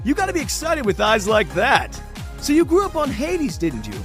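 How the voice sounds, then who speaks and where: A man's voice: very high voice, huge pitch swings, a bit bright, soft background music, exaggerated voice. A man playing a flamboyant, cynical game show host in an audio drama, slick, mocking and theatrically condescending. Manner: theatrical, condescending, drawn-out showy delivery. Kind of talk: bombastic TV game show presenting.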